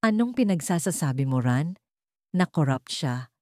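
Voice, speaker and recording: A woman's voice: sits low, big pitch swings, moderate pace, darkish tone, no echo, studio quality. A woman voicing a cocky young male college student, casual and slangy, in an audio story. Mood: teasing.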